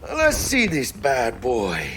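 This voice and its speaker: An actor playing a brash, big-hearted street mercenary in a video game, with a Mexican American accent and a cocky, playful, gravelly delivery. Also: male voice, medium pitch, huge pitch swings, takes it slowly, fairly bright tone, a little hiss or hum, exaggerated voice. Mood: upbeat, eager. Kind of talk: dramatic video game acting.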